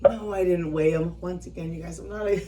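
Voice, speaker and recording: A woman's voice: on the low side, steady tone, moderate pace, dark tone, distant mic, slight background noise. A woman in her fifties chatting casually to her viewers as she works, rambling and self-deprecating, often trailing off mid-thought. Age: 50s. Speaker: vlogger. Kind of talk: casual chat while working by hand.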